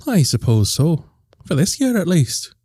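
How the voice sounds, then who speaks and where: Actor voicing easygoing editor, mellow, expressive, gently teasing, audio drama. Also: medium pitch, a little slow, breathy edge, no echo, clean studio sound. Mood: teasing, fond. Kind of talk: scripted audio drama dialogue.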